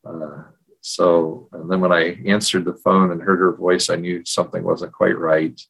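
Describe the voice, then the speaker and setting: A middle-aged or older man co-hosting a podcast, talking casually in a measured, reflective way. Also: low voice, level tone, vocal fry, slightly rough, poor audio. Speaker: podcast co-host. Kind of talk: casual conversational podcast talk, personal storytelling. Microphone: video call.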